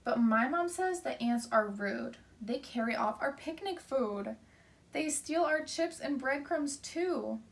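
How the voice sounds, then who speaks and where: Woman reading as a higher-voiced, dismissive storybook boy. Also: expressive, slow pace, creaky, distant mic, full character voice. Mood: accusing. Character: boastful, dismissive young boy squashing ants.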